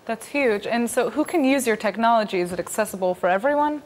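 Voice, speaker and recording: A woman's voice: on the high side, animated, quick pace. Conversational woman hosting an interview. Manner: conversational, asks follow-up questions. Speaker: news show host. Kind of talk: studio interview.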